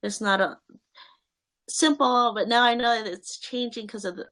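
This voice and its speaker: A woman's voice: fairly high pitch, normal speed, a bit bright. A young woman answering interview questions in a casual, hesitant way, with many fillers and restarts.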